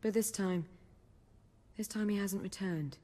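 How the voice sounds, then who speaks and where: A woman's voice: medium pitch, measured pace, dark tone, natural voice. Game role, woman acting: young female bard, medium register, elegant wry phrasing, long echo on the voice. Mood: pensive. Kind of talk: video game cutscene dialogue. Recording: long hall-like reverb.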